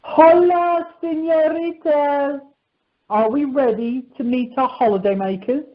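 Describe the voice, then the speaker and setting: A woman's voice: high-pitched, steady tone, a little slow. A woman playing an eager, courteous holiday rep in an amateur radio comedy, over a dull conference line. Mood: eager, polite.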